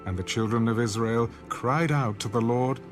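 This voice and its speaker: A man reading scripted audio Bible narration in a measured, solemn manner. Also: medium pitch. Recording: music under the voice.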